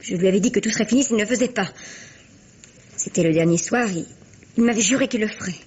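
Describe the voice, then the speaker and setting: A woman's voice: mid-range voice, fast talker, darkish tone, slightly rough, natural voice. A woman playing a proud, spiteful young would-be starlet with biting, defiant theatrical delivery, in a 1950s French radio mystery. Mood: bitter, defiant. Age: young adult. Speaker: actor. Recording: dull vintage radio recording.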